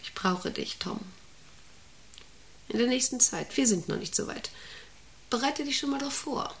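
An actress in a satirical radio play playing an eccentric, domineering, volatile company director, theatrical and commanding. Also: female voice, a little high, a little slow, vocal fry, exaggerated voice. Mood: cool, controlled. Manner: commanding, theatrical, swinging from hushed menace to excited orders. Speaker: radio drama actor.

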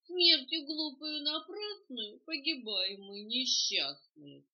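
A woman's voice: high-pitched, lively intonation, takes it slowly, voice pushed up, full character voice. An older woman voicing a small frightened male hedgehog in a children's verse tale, lamenting plaintively, on a home cassette. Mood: tearful despair. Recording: dull lo-fi home cassette recording.

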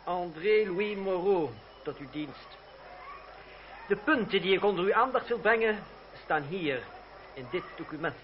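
Casting: stubborn young advocate, fairly high voice, formal and resolute, muffled vintage radio play. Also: male voice, lively intonation, a little slow, long pauses. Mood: formal, insistent. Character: defiant, principled young lawyer, polite but stubborn. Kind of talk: Dutch radio drama acting. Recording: muffled, noisy, humming old radio recording.

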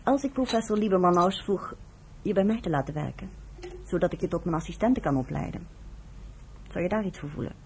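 A woman acting a composed, kindly American nurse in a Dutch radio play, speaking in a measured way. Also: lowish voice, expressive, darkish tone, slight background noise. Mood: warm, composed.

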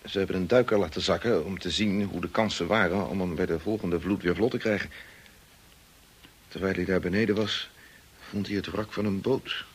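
Deep, husky, grave family friend. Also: male voice, steady tone, normal speed. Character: grave, hesitant, dutiful male family friend. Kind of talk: radio drama acting.

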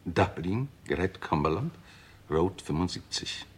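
An actor playing a formal, circumspect lawyer in a German radio crime drama, speaking precisely and deliberately. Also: male voice, low-pitched, expressive, breathy edge, exaggerated voice. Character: formal, circumspect male lawyer reporting a will.

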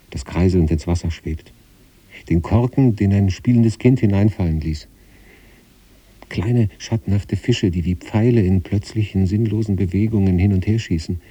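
A man reads literary first-person narration in a measured, contemplative way. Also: low voice, mellow, slightly rough. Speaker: narrator reading a literary story.

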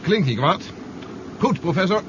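Shrewd, highish-voiced male adventurer. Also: expressive, a little slow. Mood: questioning, practical. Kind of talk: 1970s Dutch radio drama acting. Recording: muffled, noisy old radio recording.

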